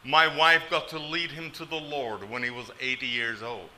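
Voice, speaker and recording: A man's voice: mid-range voice, level tone, moderate pace, pushed and bright, a little hiss or hum, rough recording. An older man from Norway preaching a sermon, telling stories with folksy, self-deprecating humor. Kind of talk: sermon with storytelling.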